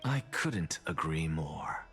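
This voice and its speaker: A man playing an arrogant, scornful scholar in a video game, speaking with haughty, eloquent and deliberate theatrical delivery. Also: a bass voice, expressive, a bit bright, slightly rough, full character voice. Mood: disdainful, dry. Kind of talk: dramatic video game voice acting. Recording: game music under the voice.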